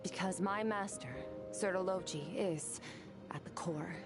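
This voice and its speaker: A woman voicing a reserved young swordswoman in a video game, speaking in a quiet, measured way that often drops to a half-whisper. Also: lowish voice, music in the background. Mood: somber.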